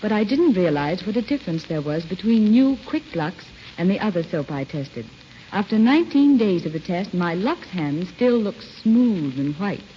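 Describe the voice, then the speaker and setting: Low-voiced woman reading radio testimonial. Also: very animated, moderate pace. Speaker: commercial assistant reading a testimonial. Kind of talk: scripted 1940s radio testimonial. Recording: dull, crackly old radio broadcast.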